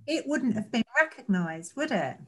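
A woman's voice: a little high, big pitch swings, moderate pace, breathy edge. A woman interviewing an author in a friendly, chatty way, often ending with tag questions and fillers. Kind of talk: conversational interview.

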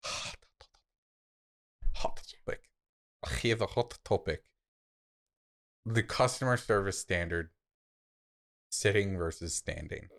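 A man's voice: low voice, takes it slowly, creaky, long pauses, a bit bright. A man with an American accent co-hosting a podcast in casual, playful banter, teasing and exclaiming as he talks. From United States. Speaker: podcast co-host.

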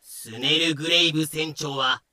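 A woman's voice: sits low, moderate pace, bright, forceful tone. A woman narrating a scripted story in an audio drama.

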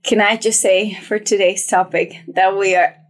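A woman's voice: medium pitch, level tone, normal speed. A young woman co-hosting a podcast, chatting casually and enthusiastically, often laughing. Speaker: podcast co-host. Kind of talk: casual chat.

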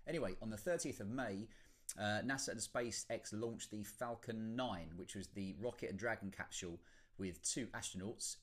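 Man, lowish pitch, podcast host, casual scripted intro, tongue-in-cheek, self-deprecating.

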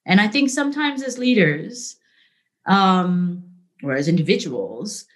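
A middle-aged woman speaking as an interview guest, telling candid work stories in a lively, conversational way, often asking "right?". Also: lowish voice, measured pace. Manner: animated, frequent "right?" and "like", laughs easily. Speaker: interview guest, business leader.

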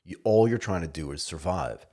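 A man's voice: low voice, expressive, fairly quick, warm tone, husky. A man hosting a podcast, asking curious, enthusiastic questions. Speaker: podcast host. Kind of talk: podcast interview.

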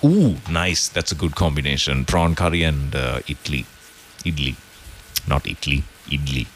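A man's voice: extremely low pitch, expressive, moderate pace, slight background noise. A man co-hosting a breakfast radio show, reading listener messages and agreeing along in casual banter.

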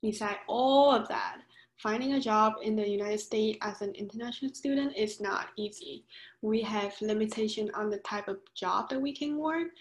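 A woman's voice: medium pitch, reverberant, poor audio. A young woman in her twenties from Vietnam, speaking English with a Vietnamese accent, giving earnest answers as an interview guest. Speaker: graduate student guest.

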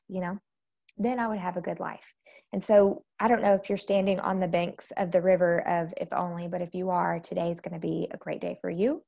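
An American woman hosting an informal devotional talk, candid and conversational, with a slightly rough, congested voice from allergies. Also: lowish voice, a little mellow. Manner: candid, conversational, self-deprecating. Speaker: host of a faith-based live devotional and podcast.

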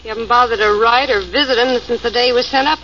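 A woman plays a cynical gangster's moll who answers everything with a sardonic quip. Her voice is high and bright, and the old broadcast sound is dull with faint hiss. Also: fast talker, lightly acted. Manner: wisecracking, sardonic. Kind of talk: 1940s radio acting.

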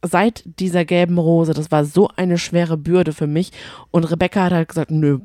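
Mellow, low-voiced woman chatting. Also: steady tone, moderate pace, no room sound, studio quality. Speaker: podcast co-host. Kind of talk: casual chat recapping reality TV.

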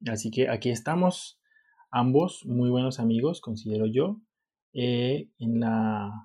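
A man's voice: mid-range voice, unhurried, mellow, slightly rough. A young man from Colombia, co-hosting a podcast in casual chat, joking and playful. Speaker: podcast co-host.

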